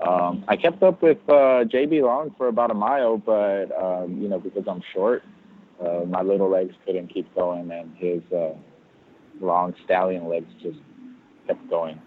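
A man's voice: deep voice, fairly even intonation, a little slow. Self-mocking man on a tinny line. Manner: self-deprecating storyteller. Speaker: guest. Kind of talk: casual podcast chat.

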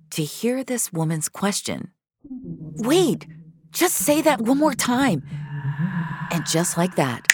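Very low, creaky woman narrator. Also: no echo, studio quality. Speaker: narrator of sci-fi audio fiction. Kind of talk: scripted dramatic story narration.

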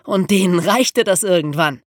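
A woman explaining things as a podcast host in a lively educational storytelling style. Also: on the low side, moderate pace, no echo, studio quality.

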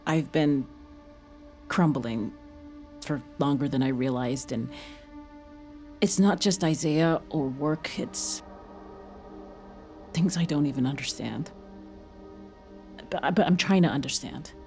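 A haunted, exhausted mother speaks in a somewhat low voice. She is fragile and halting, full of feeling, in an audio drama with faint music underneath. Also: a little mellow. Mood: halting, remorseful. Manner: halting, emotional.

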